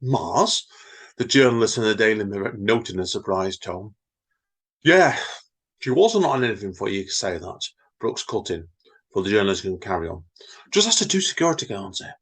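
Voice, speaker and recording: A man's voice: fairly low pitch, big pitch swings, moderate pace, a bit bright, breathy edge. A man, co-hosting a podcast, reading stories and limericks aloud and chatting with wry teasing. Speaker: podcast co-host and reader. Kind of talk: reading poems and casual chat.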